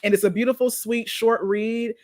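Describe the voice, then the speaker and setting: A woman's voice: a little high, steady tone, moderate pace, a little mellow. A woman speaking as a panelist in a casual chat, gushing about recommendations in a playful, emphatic way.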